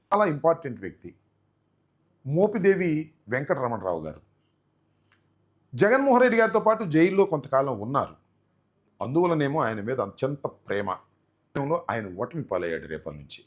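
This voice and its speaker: A man giving political commentary to viewers in deliberate phrases, often posing rhetorical questions. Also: mid-range voice.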